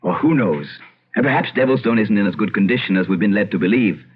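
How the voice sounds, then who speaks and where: An actor playing a pompous Irish gentleman landowner, emphatic and often interrupting himself, in an old-time radio drama. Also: lowish voice, animated, fast, husky. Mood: indignant. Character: blustery Irish gentleman landowner, imperious, skeptical yet uneasy. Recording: muffled, hissy old radio recording.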